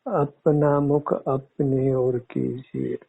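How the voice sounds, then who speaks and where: A man teaching guided meditation in measured, formal, instructive words. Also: mid-range voice, little pitch movement, creaky, slightly rough. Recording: muffled phone-like narrow-band audio.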